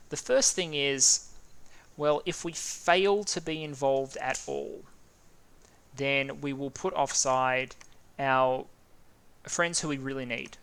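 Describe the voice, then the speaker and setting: Man, Australian accent, casual game commentary, thinking aloud, smooth clear voice, keyboard typing behind. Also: medium pitch, a bit bright. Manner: thinking aloud, stumbling over names. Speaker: gaming video host.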